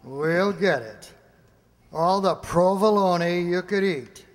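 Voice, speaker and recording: An actor playing a persuasive laboratory security chief, deliberate and emphatic, in a radio play. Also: male voice, high-pitched. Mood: cajoling. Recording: echoey hall with long reverb.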